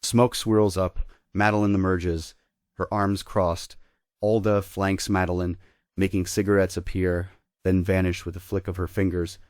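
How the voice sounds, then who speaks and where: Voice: sits low, little pitch movement, unhurried, a little mellow, no room sound, studio quality. A narrator reading scripted stage directions at a theatre table read.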